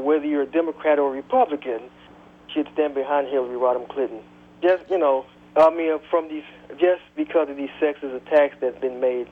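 Indignant male caller on a phone line. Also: fairly high pitch. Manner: indignant, hesitant starts, repeats himself.

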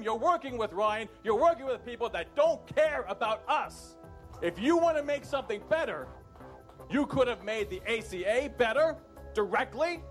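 A man speaking at a town hall meeting into a handheld mic, angry and pleading, his voice rising to shouts. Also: very high voice. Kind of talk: impassioned speech at a town hall.

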